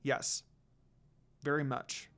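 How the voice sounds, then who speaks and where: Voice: takes it slowly, fairly bright tone. A reader voicing a firm, outspoken young woman who is kind but easily exasperated.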